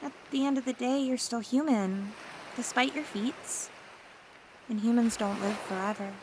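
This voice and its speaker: A woman voicing a seductive, scheming mermaid in an ASMR roleplay, talking in a teasing, flattering, coaxing way. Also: fairly high pitch, normal speed, background noise, close to an everyday voice.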